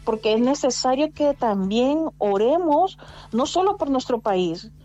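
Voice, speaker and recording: A woman's voice: high voice, animated, a little slow, warm tone, highs cut off. A woman telling her story as an interview guest, earnest and often restarting her sentences as she urges listeners on. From immigrant living in Spain. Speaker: guest, domestic workers' rights advocate. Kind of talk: conversational interview testimony.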